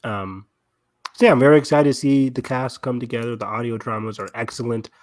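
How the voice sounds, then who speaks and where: A man's voice: medium pitch, steady tone, brisk, a little mellow, no echo. Enthusiastic man chatting, close dry sound. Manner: gushing, enthusiastic. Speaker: podcast co-host. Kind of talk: casual fan discussion.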